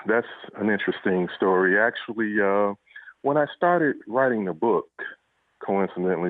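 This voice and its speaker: A man's voice: low-pitched, dry recording. A middle-aged man answering interview questions conversationally, telling his story in a reflective, somewhat hesitant way over a phone. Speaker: interview guest, police officer and author. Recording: tinny narrow phone line.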